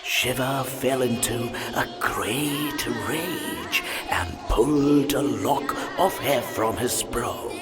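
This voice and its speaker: A man's voice: mid-range voice, slow, few pauses, music in the background, close to an everyday voice. A man playing an ancient god who narrates myths in a video game, theatrical and weighty, dwelling on his words. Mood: grave, dramatic. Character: ancient male god, grand storyteller of myths.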